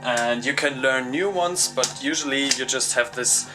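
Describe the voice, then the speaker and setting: Slow-talking German man vlogging. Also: mid-range voice, music in the background, poor audio. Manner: relaxed, thinks aloud with many ums. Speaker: tabletop game designer. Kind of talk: casual vlog talk to camera. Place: home workshop.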